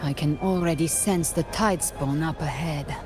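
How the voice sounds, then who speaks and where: A woman's voice: low voice, normal speed, close to an everyday voice. An actress playing a steely, curt woman warrior in a video game, cool and commanding. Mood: guarded, focused. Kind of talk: dramatic video game acting. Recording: game music behind.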